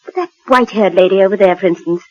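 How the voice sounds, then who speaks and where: A woman's voice: mid-range voice, quick pace, darkish tone, muffled recording, lightly acted. An actress playing a well-bred British lady in a theatrical, hesitant 1940s radio drama style. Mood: shocked, pitying. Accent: British English. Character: well-bred lady, by turns shocked visitor and dreamy mental patient. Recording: old 1940s radio recording.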